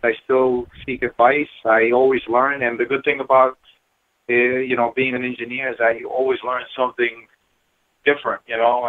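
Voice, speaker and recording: A middle-aged man talking casually and earnestly as an interview guest, peppering his speech with "you know". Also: medium pitch. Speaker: guest, building engineer. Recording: thin phone-line sound.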